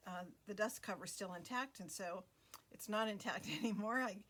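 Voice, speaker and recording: A woman hosting a craft video, talking casually through a flip-through with many fillers and pauses. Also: medium pitch, a bit bright.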